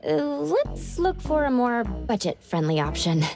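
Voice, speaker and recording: Young female teammate, anime game, high pitch, animated, informal, helpful. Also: a little slow, music in the background. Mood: curious, practical. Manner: casual, informal. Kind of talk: anime game dialogue acting.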